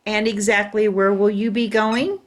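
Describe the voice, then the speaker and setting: A woman voicing a caring, patient mother in a storybook, coaxing and questioning gently. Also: medium pitch, level tone, moderate pace, natural voice. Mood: gently probing.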